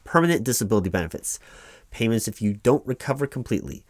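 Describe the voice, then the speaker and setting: A man's voice: on the low side, sing-song, quick pace, no echo. A man playing an officious, evasive company clerk reading paperwork aloud in a one-sided ASMR roleplay, with bureaucratic, hedging reassurance. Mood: officious, procedural. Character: officious, evasive male company clerk walking an injured employee through forms.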